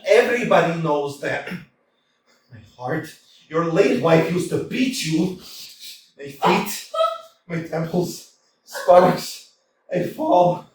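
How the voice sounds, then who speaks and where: A man's voice: a little high, expressive, takes it slowly, long pauses, a little hiss or hum. An actor in a community staged reading, playing a nervous, hypochondriac young suitor who whines melodramatically about his aches. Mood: melodramatic. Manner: fretful, whiny, melodramatic. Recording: echoey hall from far off.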